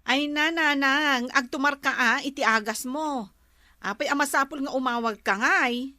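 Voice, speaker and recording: A woman's voice: sits high, moderate pace, pushed and bright, no echo, studio quality. A woman with an Ilocano accent playing an exasperated schoolteacher daughter in a village comedy drama.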